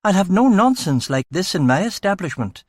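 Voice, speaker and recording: A man's voice: high voice, very animated, moderate pace, dry recording, clean studio sound, voice pushed up. A man voicing a stern, haughty older woman who runs an establishment, in an audiobook.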